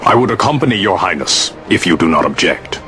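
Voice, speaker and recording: An actor playing a grave, honor-bound older sky pirate in a video game cutscene, speaking in formal, old-fashioned phrases. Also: male voice, low voice, lively intonation, a little fast, sharp tone. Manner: formal, archaic speech. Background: game score music.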